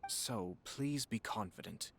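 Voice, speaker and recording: An actor playing a polite, composed young noble clan head in a video game, speaking in a formal, measured and reassuring way. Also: male voice, mid-range voice, expressive, slight background noise. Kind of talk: scripted video game dialogue.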